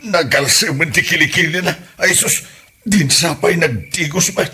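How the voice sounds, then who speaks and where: A man with an Ilocano accent playing a grumbling, excitable old husband and driver in a comedy audio drama, exclaiming and complaining. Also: a little high, moderate pace, slight background noise.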